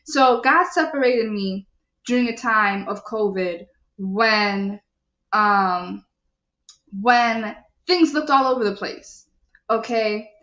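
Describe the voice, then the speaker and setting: Animated woman host, echoey lo-fi sound. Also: fairly high pitch, takes it slowly. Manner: animated, preachy, asks the audience questions. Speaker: show host. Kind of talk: live show talk reading viewer comments.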